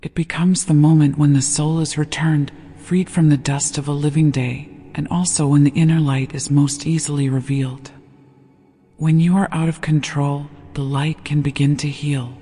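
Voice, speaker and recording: A gentle, hushed voice guiding a sleep meditation with slow, deliberate scripted narration. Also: medium pitch, little pitch movement, breathy edge. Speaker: meditation guide. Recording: long reverb over background music. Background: meditation music.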